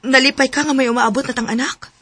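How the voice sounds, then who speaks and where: A woman's voice: high-pitched, fairly even intonation, fast talker, fairly bright tone, a little hiss or hum. An actress playing a sincere, tender young woman in love in a radio drama, with a Cebuano accent. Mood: hopeful. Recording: music bed under radio drama voices. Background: music.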